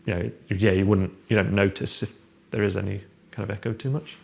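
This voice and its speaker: A young man with a low, gravelly voice talks in a relaxed, rambling way, full of fillers and restarts. He sounds like an architect explaining his design in a big open room of a house. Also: a little fast. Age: young adult. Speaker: architect guest. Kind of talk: casual chat, house tour. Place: large open-plan house living room, indoors.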